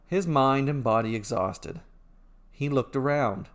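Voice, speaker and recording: A man's voice: mid-range voice, unhurried. Man narrating a storybook fairy tale. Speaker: storyteller narrating his own fairy tales.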